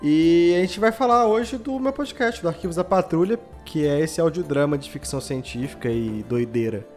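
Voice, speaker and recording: A man's voice: fairly high pitch, moderate pace, a little mellow, soft background music. A man with a Brazilian accent speaking as a podcast guest, explaining things in an informal, rambling way. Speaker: podcast guest, audio drama creator. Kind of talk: casual interview chat.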